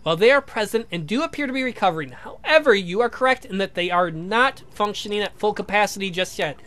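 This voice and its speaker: A man's voice: high voice, expressive, fast, sharp tone. A man voicing an eager, polite young scientist in a visual novel, speaking in a precise, inquisitive, formal way. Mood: measured.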